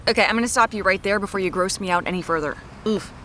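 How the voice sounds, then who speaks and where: An actress playing a brisk, evasive young woman amateur detective in an audio drama, dry and matter-of-fact. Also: mid-range voice, fairly bright tone, a little hiss or hum, close to an everyday voice.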